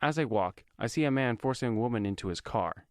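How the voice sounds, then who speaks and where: A man's voice: medium pitch, lively intonation, brisk, dry recording, clean studio sound. A man narrating a scripted first-person action story.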